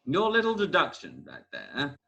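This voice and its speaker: A man's voice: a little high, wildly swooping pitch, moderate pace, gravelly, bright, forceful tone, lo-fi sound, a little hiss or hum, heavily acted. A man playing a bossy, clever detective in a comic amateur radio play, with a grand, declamatory delivery. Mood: confiding.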